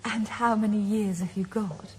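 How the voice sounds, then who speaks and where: A woman playing a married librarian, speaking in a crisp, matter-of-fact way. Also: medium pitch, steady tone, normal speed, natural voice. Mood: brisk. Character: married female librarian, brisk and pert. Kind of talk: 1980s radio drama acting. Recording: noisy location recording.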